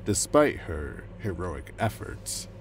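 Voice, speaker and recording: A man's voice: low-pitched, sing-song, slow pace, noisy background, heavily acted. A man playing a ruthless, coldly calculating corporate agent in an audio drama, controlled and menacing. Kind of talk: dramatic audio roleplay acting.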